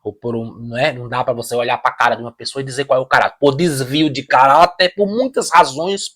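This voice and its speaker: A man with a Brazilian Portuguese accent talks through his views on camera. His voice sits in a middle pitch, and he leans on repetition and restarts as he makes his point. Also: quick pace, fairly bright tone. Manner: emphatic, repeats phrases, restarts sentences. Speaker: reaction video host. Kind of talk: casual opinion commentary.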